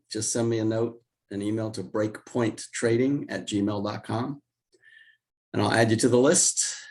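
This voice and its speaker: An older man with a hoarse, raspy voice while recovering from illness, explaining market charts as a guest on a video call. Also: a little mellow. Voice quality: raspy, deeper than usual. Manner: measured, explanatory, with restarts. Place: home office indoors.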